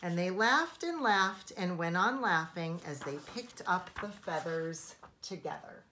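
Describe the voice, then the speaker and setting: A woman teacher reading a children's storybook aloud in a deliberate, expressive, emphatic way for young listeners. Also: lowish voice, slight background noise, low-quality recording.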